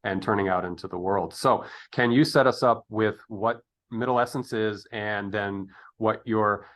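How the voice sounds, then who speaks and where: A man with a fairly low voice hosts a casual podcast interview. He is affirming and a little jokey, and speaks haltingly as he works toward a question. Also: fairly even intonation, fairly bright tone.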